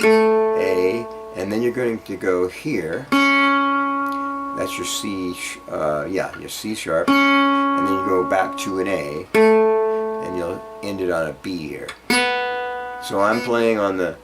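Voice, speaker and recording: A man giving an informal guitar lesson, explaining haltingly and checking in with the listener as he demonstrates phrases. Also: sits low, poor audio. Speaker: guitar teacher. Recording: loud acoustic guitar alongside the voice. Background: guitar being played between phrases.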